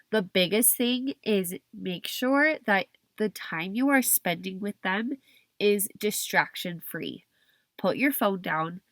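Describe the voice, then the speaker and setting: A young woman hosting her own podcast while stuffy and hoarse from a cold, talking in a chatty way with frequent restarts. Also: on the low side, a bit bright, dry recording. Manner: chatty, restarts and hedges with kind of. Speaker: podcast host. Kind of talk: solo podcast talk.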